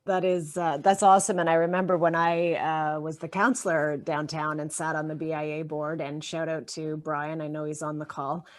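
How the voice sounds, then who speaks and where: Female host, low voice, friendly panel moderator on a video call. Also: flat delivery, moderate pace, few pauses. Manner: friendly, with frequent filler sounds.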